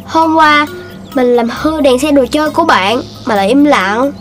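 A woman voicing a playful, naive little puppy boy in a children's cartoon, childlike and expressive. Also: sits high, moderate pace. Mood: apologetic. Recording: music playing behind the voice.

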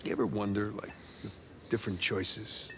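An American actor playing a wry, skeptical middle-aged adventurer, the younger brother, in naturalistic video game dialogue. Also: male voice, lowish voice, little pitch movement, moderate pace, background noise, close to an everyday voice. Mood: playful, musing. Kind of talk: naturalistic cinematic game acting.

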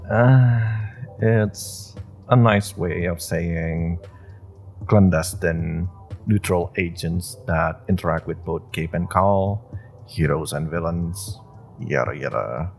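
Nerdy superhero played by a man for audio roleplay; nervous and halting, with a gravelly creak and unhurried pacing. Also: low-pitched, a little mellow, faint music behind, full character voice. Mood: awkward, earnest. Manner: halting, flustered, stammering. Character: nervous, nerdy young male superhero, flustered and earnest.

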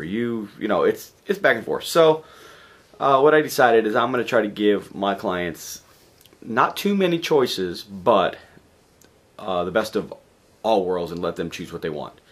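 A man in his forties, hoarse from a cold, giving a rambling, casual video update from his garage workshop. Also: fairly low pitch, lively intonation, normal speed. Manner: rambling, chatty, lots of fillers and restarts. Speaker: DJ vlogger. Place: home garage workshop, indoors.

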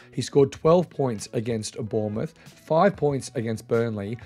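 A man's voice: mid-range voice, warm tone, slightly rough. Upbeat man hosting a fantasy football video. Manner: upbeat, direct, addresses viewers. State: recovering from losing his voice. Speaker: fantasy football channel host. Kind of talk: solo video commentary to camera.

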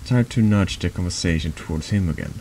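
Very deep, gravelly male narrator. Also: dark tone, slight background noise. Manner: halting reading with stumbles and repeats. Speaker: streamer reading a text adventure game aloud. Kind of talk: soft ASMR-style narration.

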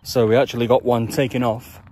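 A man's voice: mid-range voice, little pitch movement, a little fast, mellow. Chatty man vlogging, noisy recording. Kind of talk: casual vlog commentary to viewers. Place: outdoors in open countryside and inside a car. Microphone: phone camera. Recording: noisy outdoor and in-car phone recording.

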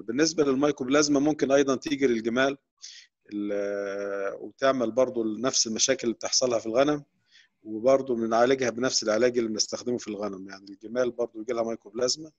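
Fast-talking Egyptian man explaining. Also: mid-range voice, a little mellow. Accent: Egyptian Arabic. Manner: explanatory, rapid, with frequent restarts. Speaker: veterinary professor. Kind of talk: answering questions in an online lecture. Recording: dull, lo-fi online call audio.

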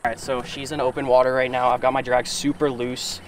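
A young man vlogging outdoors while wading in water, excitable and out of breath, talking into an action camera mic with outdoor noise behind him. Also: mid-range voice, fairly quick, crowd noise. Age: young adult. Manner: excitable, exclaiming loudly at catches. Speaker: fishing vlogger. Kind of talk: excited outdoor vlog narration.

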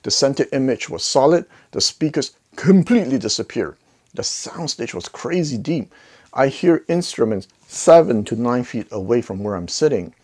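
A man's voice: medium pitch, lively intonation, normal speed, a little mellow. A middle-aged man hosting a casual product review to camera, talking in a conversational, emphatic way into a lapel mic. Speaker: host of an audio gear review channel. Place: living room listening space with acoustic wall panels. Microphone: clip-on lapel mic.

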